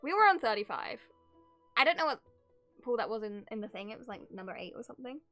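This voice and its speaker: A woman's voice: a little high, animated, quick pace, a bit bright. A woman livestreamer with a giggly, playful manner, chatting casually and reading game story aloud as she reacts. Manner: giggly, playful, easily sidetracked. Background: game music.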